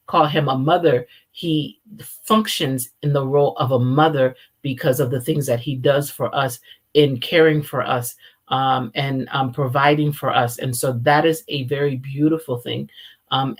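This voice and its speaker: A woman in her forties, born in Haiti and raised in America, giving an earnest, reflective faith talk with frequent ums and restarts. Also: deep voice. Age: 40s. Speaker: speaker opening a teaching series. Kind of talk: informal sermon-style teaching.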